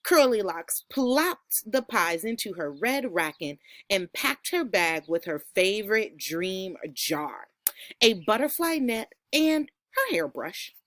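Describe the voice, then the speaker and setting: A woman teacher reading a storybook aloud to children in an enthusiastic, expressive way. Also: medium pitch, slow pace, no room sound.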